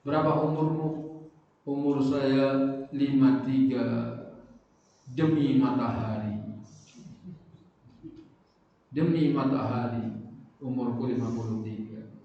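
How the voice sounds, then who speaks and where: A man preaching a religious lesson, lively, moving between loud stresses and quiet asides as he acts out everyday quotes. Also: medium pitch, takes it slowly, pauses often, slight background noise. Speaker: Islamic preacher. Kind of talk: sermon-style religious lesson. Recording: echoey hall from far off, dull lo-fi.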